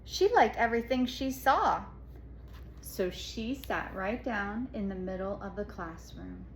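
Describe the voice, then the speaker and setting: A woman reading a children's picture book aloud expressively, pausing to explain the pictures. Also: medium pitch, lively intonation. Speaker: storybook reader. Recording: echoey room, faint background noise.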